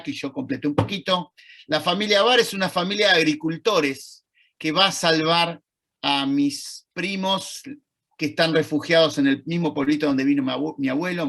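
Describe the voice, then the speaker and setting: Man, Argentine accent, gravelly, fairly high voice, video-call lecture, thoughtful pauses. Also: a bit bright. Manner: thoughtful, conversational, pauses to search for words. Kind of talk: online talk to an audience.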